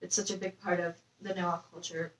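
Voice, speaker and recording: A woman's voice: lowish voice, flat delivery, brisk. A young woman from Nepal answering interview questions in a hesitant, casual way with many fillers. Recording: echoey room, distant mic, faint noise.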